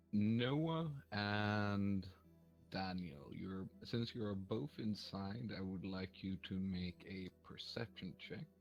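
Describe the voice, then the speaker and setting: A man running a tabletop game, pausing often to hunt for his words. He speaks slowly in a deep, low voice. The sound is dull and lo-fi, with faint music underneath. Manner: hesitant, lots of ums and uhs. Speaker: game master.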